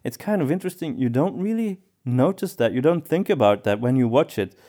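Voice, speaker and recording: Mellow-voiced man musing about movies. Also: medium pitch, animated, fairly quick. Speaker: podcast co-host. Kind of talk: casual film discussion.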